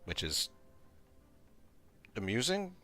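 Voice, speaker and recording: A male streamer with a croaky, tired voice, casually thinking aloud and rambling while playing a game. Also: medium pitch, wildly swooping pitch, slow, pushed and bright, faint music behind. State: tired voice from talking all day. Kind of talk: casual gaming livestream commentary.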